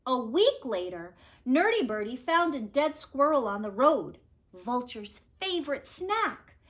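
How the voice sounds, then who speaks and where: A woman narrating a children's storybook aloud in an expressive, measured way with dramatic emphasis. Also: high-pitched. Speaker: storybook reader.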